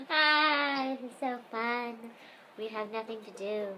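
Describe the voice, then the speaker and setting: A young woman whose voice is pushed sharply high and squeaky by helium, sounding like a chipmunk, with a bouncy, swooping delivery. She talks in an unhurried, giggly, playful way among friends. The home recording has loud, constant noise in the background. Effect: helium-raised squeaky voice.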